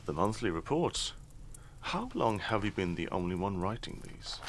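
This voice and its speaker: An actor playing a middle-aged, shrewd and dry-witted noble father in an audio drama, speaking in a measured, formal, wry way. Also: low voice, big pitch swings, slight background noise. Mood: dry, reproachful.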